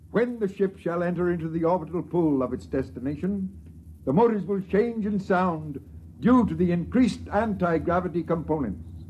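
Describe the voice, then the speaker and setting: An actor playing a solemn, pompous priest who recites scripture with measured ceremony in a 1950s radio drama. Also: male voice, on the high side, warm tone, lo-fi sound, lightly acted. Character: solemn priest reciting the sacred book of the ship. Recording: noisy old radio recording.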